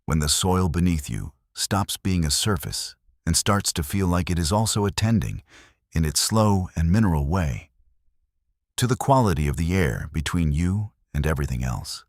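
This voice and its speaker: A man's voice: deep voice, expressive, moderate pace, no echo, studio quality. A man narrating a scripted sleep meditation in a contemplative, soothing manner.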